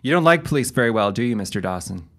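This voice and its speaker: An actor playing a brisk, wry police detective in a period audio drama, with a formal, clipped way of talking. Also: male voice, mid-range voice, animated, a bit bright.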